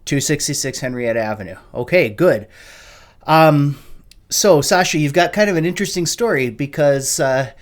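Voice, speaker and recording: A man's voice: fairly high pitch, normal speed. A man hosting a casual interview, asking long questions with many uhs and restarts. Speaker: podcast host, interviewer.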